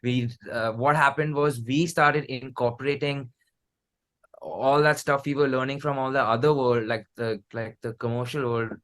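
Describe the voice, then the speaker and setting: A man's voice: mid-range voice, fairly even intonation, normal speed, a bit bright. Indian man in rambling, casual conversation. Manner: rambling, repeats and restarts phrases. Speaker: musician, interview guest.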